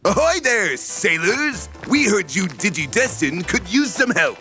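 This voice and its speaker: Man playing a slow, swooping, grand cartoon creature. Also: high voice, sing-song, bright, forceful tone, soft background music, heavily acted. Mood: grand, earnest. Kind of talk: fan-dub parody cartoon acting.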